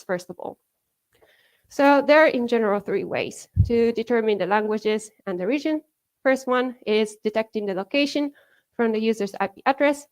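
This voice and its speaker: A woman from Japan with a Japanese accent, giving a conference talk in a chatty way full of fillers, laughing now and then. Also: a little high. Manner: chatty, many fillers like "you know" and "like", laughs often. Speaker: conference speaker. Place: conference hall.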